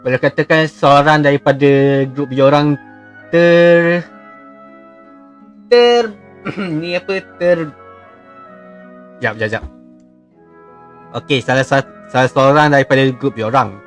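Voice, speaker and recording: A man with a Malaysian accent giving casual, halting livestream commentary on a game. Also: fairly high pitch, lively intonation, faint music behind. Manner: halting, mixes two languages. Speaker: game streamer.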